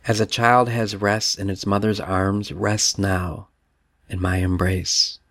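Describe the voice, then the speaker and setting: Man speaking as a loving fatherly god, low and gravelly, flat slow gentle repeats, dry close sound. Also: dry recording, exaggerated voice. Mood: tender. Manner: gentle, repetitive affirmations. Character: God the Father, tender loving male spirit.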